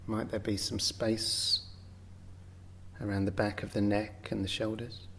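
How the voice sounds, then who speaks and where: A man with a fairly low voice guides a relaxation practice. He speaks very slowly and gently, leaving generous space between short phrases as he gives unhurried meditation instruction. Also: little pitch movement, gravelly, slight background noise. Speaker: yoga nidra meditation guide.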